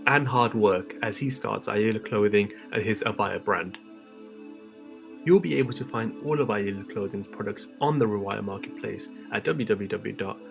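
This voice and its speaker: A man's voice: on the low side, creaky. A man hosting a business podcast, interviewing a guest in an upbeat, friendly way. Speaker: podcast host.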